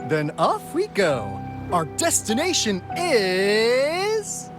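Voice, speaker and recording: A woman's voice: fairly high pitch, wildly swooping pitch, very slow, heavily acted. A woman voicing a breezy, cheeky gadget-peddling helper in a video game, playful and showy, with folksy slang. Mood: cheeky, boastful. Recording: music under the voice.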